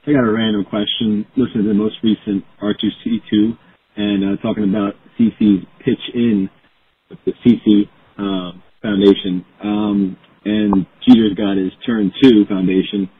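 Low-voiced male caller, muffled phone line. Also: level tone, moderate pace, breathy edge. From New Jersey, United States. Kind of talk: casual voicemail question.

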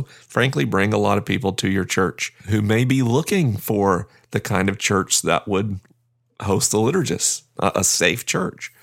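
A man's voice: fairly low pitch, creaky. A man hosting his own podcast, chatting upbeat announcements to listeners with self-deprecating jokes, recorded on a studio mic. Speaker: podcast host. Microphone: studio microphone.

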